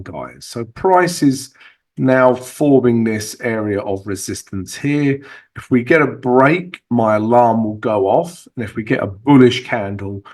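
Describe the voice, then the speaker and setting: A man, husky as he recovers, casually walking viewers through trading charts with lots of fillers and asides to the viewers. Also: mid-range voice, a little mellow. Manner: chatty, fillers, addresses viewers as guys. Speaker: forex trading channel host.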